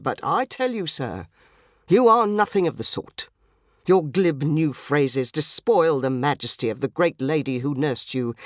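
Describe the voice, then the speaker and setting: Pompous male detective played by a woman reader: low, solemn, oratorical, florid, storybook delivery. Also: dry recording, clean studio sound. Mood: scornful. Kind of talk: dramatised story reading.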